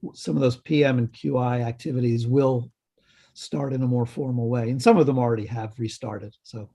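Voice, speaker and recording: A man's voice: mid-range voice, steady tone, gravelly, warm tone. Hesitant man on a video call. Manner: halting, many ums and restarts. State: slightly hoarse. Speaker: health department staff presenter. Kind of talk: committee meeting update.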